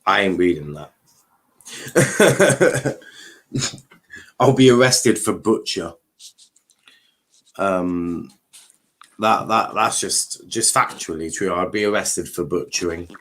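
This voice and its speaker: Slow-talking man commentating casually on a race. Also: low-pitched, animated, a bit bright. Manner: informal, sweary, joking asides. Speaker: livestream watchalong host.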